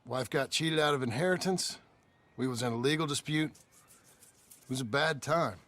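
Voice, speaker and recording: An actor playing a guarded, plain-spoken frontier ranch hand and father in a Western game, with a gravelly voice. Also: mid-range voice, normal speed, a bit bright, lightly acted. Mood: guarded, firm. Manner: terse, folksy, plain-spoken. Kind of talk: naturalistic Western game acting.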